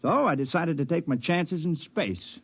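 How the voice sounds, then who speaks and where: An actor playing a polite, independent-minded space volunteer, hesitant and questioning, in 1950s radio drama style. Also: male voice, a little high, moderate pace, a bit bright. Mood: frank.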